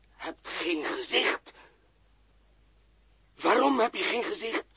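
An actor playing a desperate, bewildered injured man in a radio play, halting and repeating himself in pain. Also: high-pitched, pushed and bright, no echo, exaggerated voice. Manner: halting, repeating words, anguished. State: in pain, struggling. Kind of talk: 1960s Dutch radio play acting. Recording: husky-sounding old 1960s radio recording.

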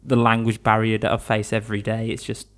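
A man's voice: on the low side, completely flat delivery, a little fast, fairly bright tone. A young man from England, an English teacher, chatting casually in an interview, laughing easily and restarting his sentences.

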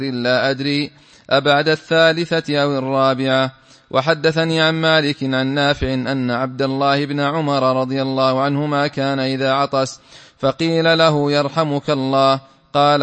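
A man formally reading a classical religious text aloud in a measured way, as a student reader in a class. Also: mid-range voice, fairly bright tone, clean tone. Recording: reverberant hall.

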